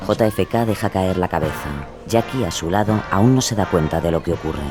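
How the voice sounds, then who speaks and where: A man reading suspenseful documentary narration, deliberate and dramatic. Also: deep voice. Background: music.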